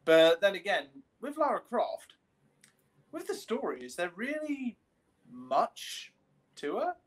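A man's voice: sits high, sing-song, slow pace. A young man chatting casually as a podcast co-host, recorded in a room on a video call. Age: young adult. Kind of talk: casual chat.

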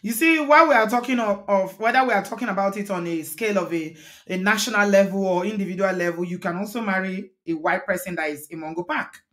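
A woman in her thirties hosts a live call-in stream, probing her callers with pointed questions. She speaks at an ordinary pitch and keeps the exchange moving, bringing each new voice in. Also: fast talker. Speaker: host.

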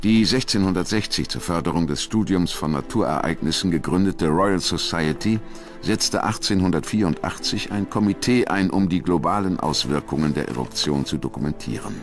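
A man with a low, deep voice narrates a documentary. His delivery is measured and grave, carefully scripted, with music clearly playing behind him.

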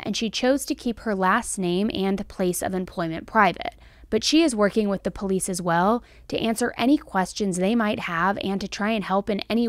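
A woman's voice: mid-range voice, dry recording. An American woman in her thirties hosting true crime commentary, speaking in a conversational, emphatic way into a close podcast microphone. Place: small indoor studio set. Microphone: close podcast microphone on stand.

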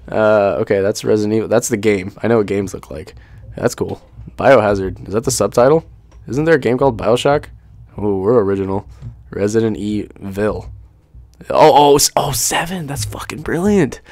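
A man's voice: on the low side, a little mellow, no room sound. A young man giving casual, off-the-cuff reaction commentary on an unboxing video, joking and swearing freely as he goes. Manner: joking, sweary, easily amused.

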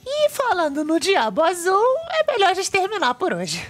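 Woman voicing a very high-pitched Brazilian cartoon fox boy. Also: huge pitch swings, moderate pace, faint music behind, full character voice. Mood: upbeat. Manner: eager, explanatory. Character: cheerful, brainy young male cartoon fox presenter. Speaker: show presenter. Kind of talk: fan-dubbed cartoon web show.

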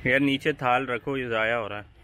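A man's voice: medium pitch, a little fast, heavy background noise. A young man giving casual, matter-of-fact vlog narration to viewers while walking around an outdoor cattle farm, recorded on a camera mic. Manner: flat, matter-of-fact commentary addressed to viewers. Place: outdoor cattle farm under shade sheds.

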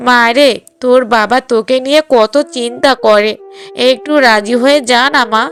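A woman's voice: a little high, normal speed, faint music behind, lightly acted. A woman playing a middle-aged village mother in a cartoon drama, coaxing in a fretful, affectionate way. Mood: coaxing, worried.

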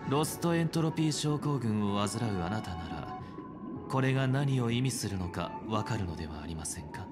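An actor playing a calm, idealistic male leader in a video game, speaking in polite, measured, solemn persuasion. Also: fairly low pitch. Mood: solemn, serene. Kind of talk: Japanese video game voice acting. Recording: music under the voice.

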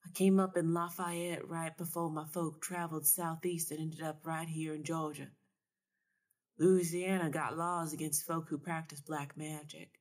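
A woman's voice: low-pitched, little pitch movement, normal speed, a little mellow. A woman voicing a knowing Southern woman seer in a story, folksy, solemn and assured.

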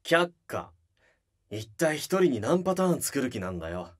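A gruff, blunt young idol. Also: male voice, mid-range voice, sing-song, normal speed. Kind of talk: anime voice drama. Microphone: binaural mic.

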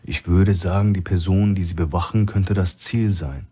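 A man's voice: sits low, moderate pace, mellow, slightly rough. A man playing an alert, level-headed male operative in a thriller audiobook, terse and matter-of-fact. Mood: alert, serious.

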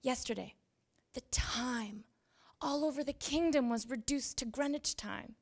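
High-voiced young woman reading letters, creaky. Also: unhurried. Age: young adult. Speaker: reader at a library event. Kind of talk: dramatic reading of historical letters. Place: indoor event room with small audience. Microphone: handheld microphone.

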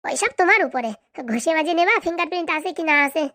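A woman's voice: very high voice, expressive, fast talker, bright, forceful tone, no room sound, clean studio sound, exaggerated voice. A woman voicing a blunt, scheming, commanding village madam with a rural Bengali accent in a comedy cartoon. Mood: brisk, commanding. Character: bossy scheming village madam hiring a corrupt policeman. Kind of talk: comic cartoon dialogue.

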